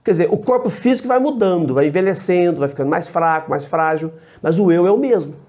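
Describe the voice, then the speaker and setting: Brief: male priest, fairly high but mellow voice, patient teacher, dull lo-fi sound. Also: expressive. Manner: measured, explanatory, short phrases with frequent tag questions. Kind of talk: theology teaching to camera. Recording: dull lo-fi recording.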